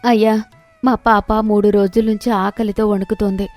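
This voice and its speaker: A woman playing a desperate village mother who begs for mercy in an animated moral story. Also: mid-range voice, moderate pace, faint music behind, lightly acted. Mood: desperate, pleading. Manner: pleading.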